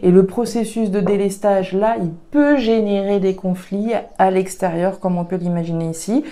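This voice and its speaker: Mellow-voiced woman reading oracle cards. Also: medium pitch, steady tone, normal speed. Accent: regional French accent. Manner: thinks aloud, many fillers and restarts, frequent "hein". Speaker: tarot and oracle card reader. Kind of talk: casual spoken card reading to viewers.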